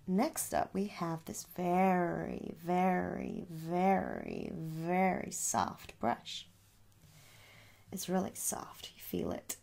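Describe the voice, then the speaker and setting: Soft-spoken woman with a creaky low voice. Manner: gentle, repeats words slowly, reassuring. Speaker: ASMR performer playing a shop assistant. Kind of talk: soft-spoken ASMR roleplay sales pitch. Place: small room indoors.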